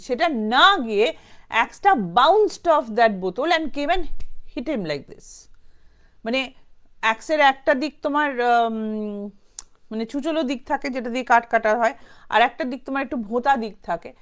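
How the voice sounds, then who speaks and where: Female storyteller, Bengali accent, slightly high voice, off-the-cuff horror narration, conversational, code-switches into English. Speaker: storyteller, show host. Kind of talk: informal spoken horror storytelling, unscripted.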